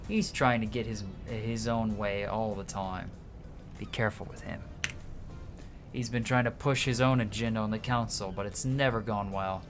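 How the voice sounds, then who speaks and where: A man's voice: fairly low pitch, fairly even intonation, moderate pace. A man streaming a game, reading its dialogue aloud in a casual way and stumbling now and then, with side comments of his own. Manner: casual, stumbles and rereads as he goes. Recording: music behind the voice. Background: game music.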